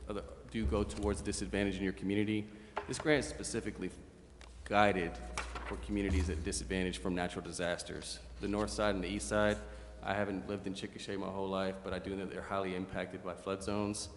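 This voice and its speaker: A man's voice: deep voice, level tone, quick pace. A man explaining things at a public meeting as a city staff member. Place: council chamber. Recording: echoey hall, faint noise.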